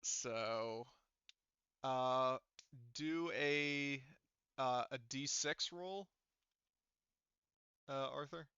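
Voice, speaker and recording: A man's voice: mid-range voice, drawn-out words, pauses often, pushed and bright, smooth, no room sound, lo-fi sound. A man refereeing a tabletop role-playing game in casual talk, swearing freely. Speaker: game master.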